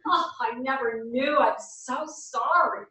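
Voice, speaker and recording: A woman's voice: high voice, slow, bright, forceful tone, heavily acted. A woman playing an effusive, proud older former professional in a staged play reading. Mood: dramatic, apologetic. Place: small theatre stage, indoors. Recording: very echoey theatre, distant microphone.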